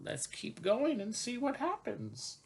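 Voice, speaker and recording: Male storytime reader for small kids: unhurried, lively pitch swings, chatty and questioning. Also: vocal fry, a little hiss or hum. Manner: expressive, chatty, asking questions as he reads. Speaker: coach reading to young children. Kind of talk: children's picture-book storytime.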